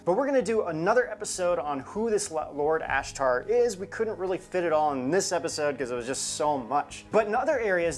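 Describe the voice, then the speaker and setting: A woman co-hosting a UFO show, explaining things in conversational commentary. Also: low-pitched. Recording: music behind the voice. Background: background music.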